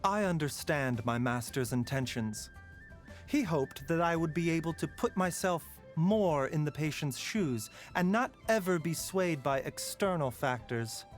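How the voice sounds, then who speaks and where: Brief: caring game doctor, soft and measured, lively pitch, light music underneath. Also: male voice. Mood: calm. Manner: soft-spoken, measured, sighing. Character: gentle, caring male physician, middle-aged. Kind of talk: game character voice lines. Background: faint music.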